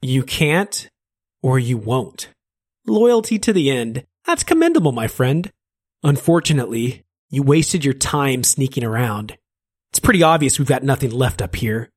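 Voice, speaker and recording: A man's voice: mid-range voice, big pitch swings, unhurried. A man doing a mocking, scarred demon-man. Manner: teasing, sarcastic.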